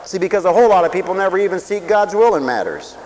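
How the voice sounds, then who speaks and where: A man preaching a sermon for radio, who swings from loud, stretched-out lines to quiet asides and acts out other people's voices. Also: high voice, big pitch swings, fast, a little hiss or hum. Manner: dramatic swings from shouting to near whisper, acts out voices.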